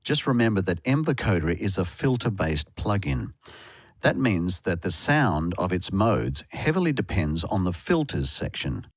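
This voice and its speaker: A man reading a scripted software tutorial as narrator, recorded as a voice-over. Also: low voice, very animated, moderate pace, no echo. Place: close dry voice-over recording.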